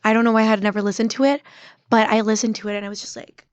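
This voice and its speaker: Soft, heartfelt young woman. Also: on the high side, level tone, racing, dry recording, highs cut off. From Mexico. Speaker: band member guest. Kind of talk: casual interview chat.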